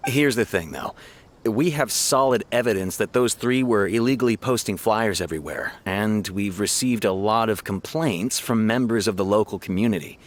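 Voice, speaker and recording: An actor playing a cautious but soft-hearted town officer in a video game, talking in a hesitant, conversational manner. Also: male voice, on the low side, moderate pace, close to an everyday voice. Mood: pondering, doubtful.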